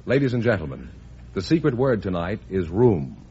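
A man announcing a quiz show with a booming, drawn-out delivery. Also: sing-song, mellow, husky. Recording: dull old broadcast recording.

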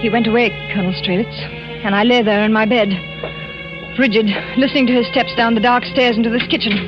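Actress as fearful wife in muffled old radio thriller. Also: female voice, fairly high pitch, steady tone, lightly acted. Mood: hushed dread. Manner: breathless, dramatic, halting. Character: anxious, fearful French wife confessing to a colonel. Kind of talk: 1940s radio thriller acting. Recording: muffled vintage radio recording with music.

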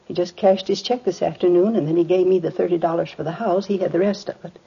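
An actress playing a gentle, grieving mother in her mid sixties in a 1950s radio drama, polite, hesitant and emotional. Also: fairly low pitch, fairly even intonation, fast talker, creaky, slightly rough, full character voice. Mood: subdued, wistful. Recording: muffled vintage radio recording.

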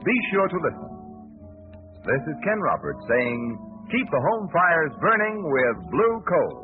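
A man's voice: fairly high pitch, very animated, a little slow. A man announcing in formal, emphatic, scripted 1940s radio style. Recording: muffled vintage radio broadcast, music behind.

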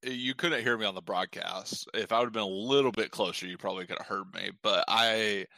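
A man's voice: fairly quick, vocal fry, sharp tone, no room sound. A man co-hosting a casual sports podcast, talking in rambling, frustrated bursts with many restarts. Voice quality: deep voice. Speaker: podcast co-host.

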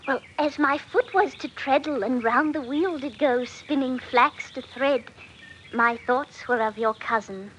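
High, bright, trusting country maid. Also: female voice, a little slow, muffled recording. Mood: demure, curious. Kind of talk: old-time radio drama acting. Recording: vintage radio recording, faint noise.

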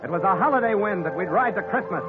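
A man's voice: sits high, lively intonation, fast talker, loud music behind the voice. A man narrating as a ship's captain in an old radio drama, wry and dry. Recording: tinny old radio recording.

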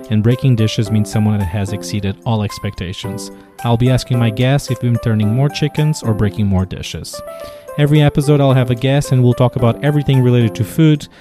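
A middle-aged man from Portugal with a Portuguese accent, hosting a food podcast with an upbeat, energetic manner. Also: medium pitch. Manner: energetic upbeat intro, quieter when asking questions. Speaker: podcast host and chef. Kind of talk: podcast interview and ad read.

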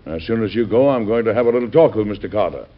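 A dull old radio recording of a man playing a big-hearted, stout American president. His voice is low and avuncular, and he speaks in an unhurried, folksy yet firm way. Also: lively intonation, lightly acted. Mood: indignant. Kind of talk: old-time radio drama acting.

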